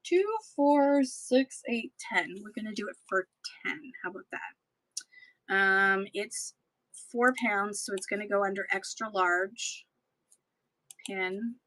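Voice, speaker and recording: A woman selling books in a chatty live-stream auction, her voice getting hoarse, with playful sing-song asides. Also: medium pitch, takes it slowly, a bit bright, no echo, low-quality recording.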